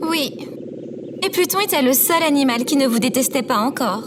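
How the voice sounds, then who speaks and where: A woman's voice: sits high, expressive, moderate pace. A woman playing an earnest, naive and polite female robot assistant in an audio drama. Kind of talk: scripted audio drama acting. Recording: very noisy background ambience.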